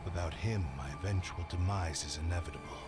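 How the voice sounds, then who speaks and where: An actor playing the grave, repentant spirit of an old sword in a video game, speaking formally and with measured weight. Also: male voice, deep voice. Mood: solemn, resigned. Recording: music laid under the voice.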